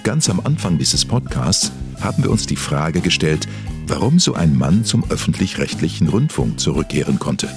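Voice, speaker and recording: Grave male true-crime narrator, very low voice, deadpan, scripted, music underneath. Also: normal speed, heavily acted. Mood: solemn, deadpan. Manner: solemn, deadpan. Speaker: podcast narrator. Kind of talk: scripted mock-documentary narration.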